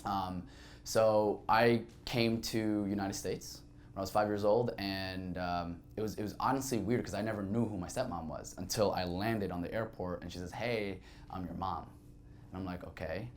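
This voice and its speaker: A man with a deep, low voice tells his own story as an interview guest. He was born in Saudi Arabia and speaks Arabic as his first language. He talks reflectively and conversationally over a faint low rumble. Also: steady tone, normal speed. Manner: reflective, conversational, many fillers. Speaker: podcast guest. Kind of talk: candid personal storytelling.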